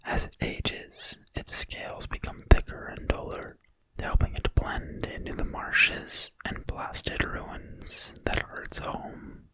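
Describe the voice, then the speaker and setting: A person reading aloud in a quiet, breathy whisper, slowly and soothingly, in an ASMR style. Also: no echo, rough recording. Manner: quiet, slow, deliberate, soothing delivery. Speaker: ASMR video creator and reader. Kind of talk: whispered ASMR reading of a fantasy game manual.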